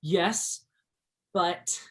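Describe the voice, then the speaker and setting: A man's voice: sits high, extremely slow, fairly bright tone, full character voice. A man playing a reluctant, dreamy teenage boy in a stage play, earnest and grumbling.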